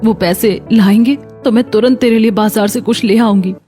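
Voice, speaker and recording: Woman acting a worried, mellow-voiced poor mother. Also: fairly high pitch, fairly even intonation, normal speed, soft background music, close to an everyday voice. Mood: worried, soothing. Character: poor, hardworking young mother, gentle but worried.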